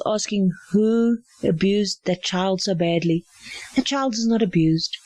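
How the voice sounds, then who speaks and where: A middle-aged woman with a South African accent, Afrikaans her first language, speaking in an earnest, emphatic way and leaning on repeated phrases. Her voice sits at an ordinary middle pitch. The recording is dry and close, with almost no room sound. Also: darkish tone, no room sound, rough recording. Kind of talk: earnest spoken testimony.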